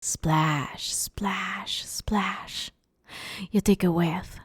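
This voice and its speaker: A woman voicing a playful, sadistic vampire in an ASMR roleplay, teasing her captive with mock sweetness and quiet menace. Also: mid-range voice, steady tone, very slow, breathy edge, no echo, clean studio sound, exaggerated voice. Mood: taunting, sinister. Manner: teasing, mockingly sweet, menacing, often hushed. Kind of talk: ASMR roleplay, dramatic monologue.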